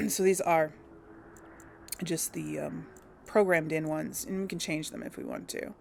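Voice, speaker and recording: A woman talks through her gameplay in an easygoing, unhurried way, often pausing to think out loud with ums. Her voice has a gravelly vocal fry. A faint hiss sits behind her. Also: sits low, steady tone. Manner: chatty and unsure, thinking aloud with many ums. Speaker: let's play host. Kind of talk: casual gameplay commentary.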